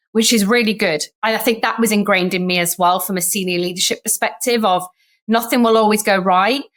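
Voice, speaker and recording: A woman's voice: medium pitch, fairly bright tone. A woman in her thirties from Sheffield, with a Sheffield English accent, a chatty storyteller who acts out remembered conversations as a podcast interview guest. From Sheffield, England. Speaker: guest, startup founder.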